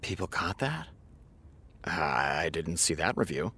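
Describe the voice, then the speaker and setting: An actor playing a sardonic, defensive television writer with a dry, theatrical way of talking, in naturalistic audio drama style. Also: male voice, sits low, very animated, slow, sharp tone, breathy edge, full character voice. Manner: dry, sarcastic, dramatic emphasis. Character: sardonic, defensive male TV showrunner, harried and theatrical.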